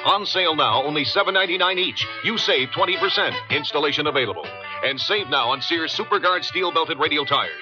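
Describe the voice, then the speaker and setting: A man's voice: on the high side, animated, a little fast. An American man reading a scripted retail radio commercial. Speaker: commercial announcer. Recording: dull radio broadcast with music behind.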